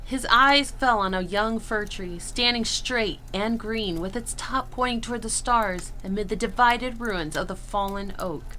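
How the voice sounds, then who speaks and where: A woman's voice: medium pitch, measured pace, hardly any pauses, sharp tone, slight background noise, rough recording. A woman narrating a classic story in a dramatic storybook style.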